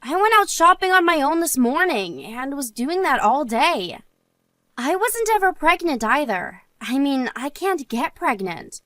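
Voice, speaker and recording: A woman's voice: sits high, big pitch swings, a little slow, a bit bright, dry recording, exaggerated voice. A woman playing an earnest married woman and loyal friend in a scripted story drama. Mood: earnest, confiding.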